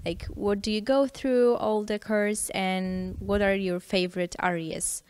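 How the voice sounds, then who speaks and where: A woman's voice: medium pitch, steady tone, measured pace, darkish tone, clear, resonant tone, no room sound. Hesitant woman interviewer, dry sound. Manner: hesitant, many fillers and restarts. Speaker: interviewer host. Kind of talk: studio interview.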